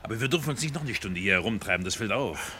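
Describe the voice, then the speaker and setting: An actor playing an eager, blunt accomplice in a German radio crime drama. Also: male voice, fairly low pitch, expressive, fast, lightly acted. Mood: cheerful, eager. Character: eager, brash male accomplice in treasure diving. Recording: radio play with noisy outdoor ambience.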